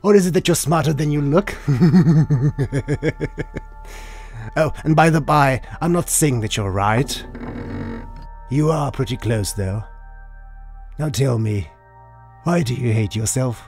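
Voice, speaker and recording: A man's voice: mid-range voice, slow, long pauses, a little mellow. A man voicing a sadistic, theatrical supervillain clown in a motion comic, drawling and mocking with menace and sudden outbursts. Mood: sinister, teasing. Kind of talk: dramatic motion comic voice acting. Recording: music behind the voice. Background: music.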